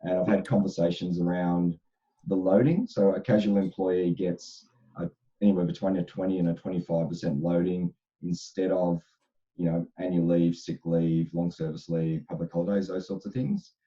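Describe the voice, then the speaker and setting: This is a man speaking as an HR expert on a panel. His voice is very deep and dark, with a slight crackle from a sore throat. The audio sounds dull and lo-fi, and he answers thoughtfully, restarting phrases often. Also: flat delivery, moderate pace. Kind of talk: casual panel discussion.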